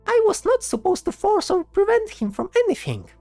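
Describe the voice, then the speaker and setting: A woman's voice: very high-pitched, wildly swooping pitch, a little fast, warm tone, dry recording, faint music behind, heavily acted. A woman voicing a fretful, sarcastic flying skull companion in a fantasy audio drama. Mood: defensive, flustered. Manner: exasperated, sarcastic.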